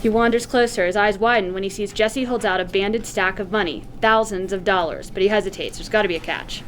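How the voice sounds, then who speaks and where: A woman's voice: mid-range voice, fairly quick, slight background noise, low-quality recording. A woman reading scripted stage directions and narration aloud at a screenplay table read.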